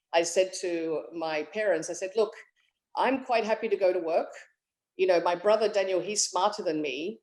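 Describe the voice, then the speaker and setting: A woman's voice: on the low side, darkish tone, low-quality recording. A woman in her late forties with an Australian accent, chatting upbeat as a guest in a virtual interview. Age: late 40s. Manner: chatty and upbeat, many fillers. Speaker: guest, tech executive.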